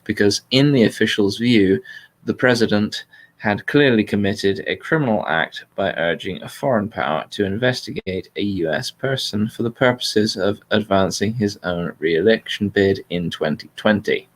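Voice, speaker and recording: A man's voice: lowish voice, moderate pace. A man hosting a casual livestream political discussion in an analytical way, qualifying his points carefully. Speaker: livestream host.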